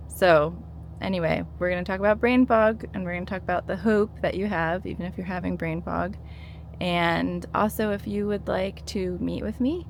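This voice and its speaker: Gravelly-voiced woman in her thirties, encouraging. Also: lowish voice, slight background noise. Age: 30s. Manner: earnest and encouraging, with many fillers and restarts. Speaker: vlogger sharing her withdrawal experience. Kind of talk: casual talk to camera.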